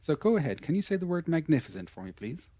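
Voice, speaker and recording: A man's voice: fairly high pitch, very animated, fast talker, warm tone, no echo. A man with an Irish accent teaching English pronunciation in an online lesson. He talks patiently and encouragingly, repeating his praise often. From Ireland.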